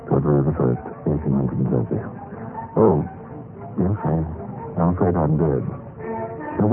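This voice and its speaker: An actor playing a timid, apologetic dead government clerk who tells his own story haltingly and deferentially in a radio drama. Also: sits low. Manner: hesitant, stammering, deferential. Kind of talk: radio drama acting, first-person storytelling. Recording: muffled old radio recording with music.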